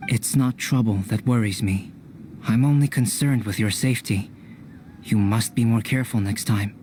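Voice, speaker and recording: A man voicing a calm, analytical alchemist mentor in a video game, measured and formal, with a caring manner. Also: fairly low pitch, slight background noise, close to an everyday voice. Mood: composed, concerned. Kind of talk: animated game dubbing.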